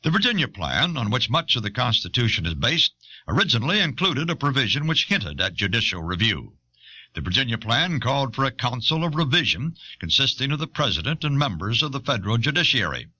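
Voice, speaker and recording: An American man reading scripted documentary audiobook narration in a measured, formal, emphatic way. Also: medium pitch, big pitch swings, bright, forceful tone, slightly rough.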